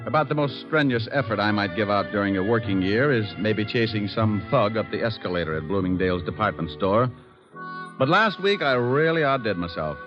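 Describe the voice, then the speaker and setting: A wisecracking hard-boiled detective played by a man in an old radio show. He is breezy, sardonic and slangy on the low side of male pitch. The recording is muffled, with music clearly behind him. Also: expressive, a little fast, lightly acted. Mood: breezy, wry. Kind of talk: 1940s-50s radio drama acting and narration.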